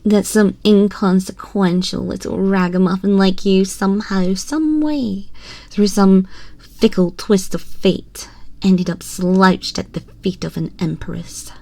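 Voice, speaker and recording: A woman acts out a small, haughty empress who toys with her listener. Her delivery is slow and drawn out, condescending and teasing, sometimes slipping into a breathy hush. It is an ASMR roleplay, recorded very close and dry. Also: mid-range voice, dry recording. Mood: haughty, amused. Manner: imperious, slow, theatrical, teasing drawl with breathy whispers. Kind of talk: ASMR roleplay, scripted character monologue. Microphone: close binaural microphone.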